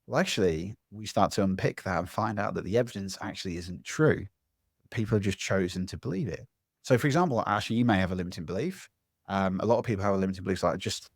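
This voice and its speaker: Animated man coaching. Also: lowish voice, dry recording, studio quality. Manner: animated, acts out other people's voices. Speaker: public speaking coach, podcast guest. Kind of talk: conversational interview answer. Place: at home.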